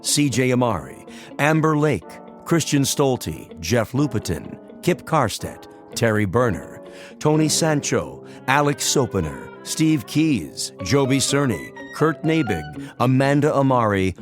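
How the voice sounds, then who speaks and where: A man's voice: medium pitch, sing-song, unhurried, faint music behind. A man announcing in a scripted radio style, reading formal programme credits.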